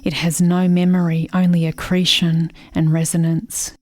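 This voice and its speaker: An actress playing a grieving, haunted settler woman in a lyrical radio play monologue, deliberate and brooding. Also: fairly low pitch, level tone, a little mellow, no room sound, natural voice.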